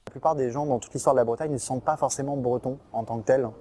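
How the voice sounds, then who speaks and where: A man being interviewed, explaining at length in a fluent, measured way. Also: medium pitch, fairly even intonation, warm tone, a little hiss or hum.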